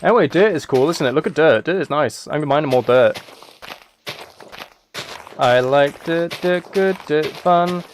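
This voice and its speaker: A man chatting casually on a gaming livestream, rambling haltingly with playful, mock-serious dry humour. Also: fairly high pitch, a little hiss or hum. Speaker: live streamer.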